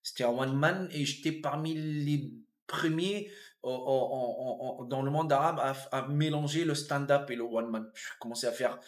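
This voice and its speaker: A man in his fifties from Tunisia with a Tunisian accent, a comedian and actor telling his life story in a relaxed interview, thoughtful, with frequent restarts and fillers. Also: mid-range voice, moderate pace. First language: Arabic. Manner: reflective, repeats and restarts words, many fillers.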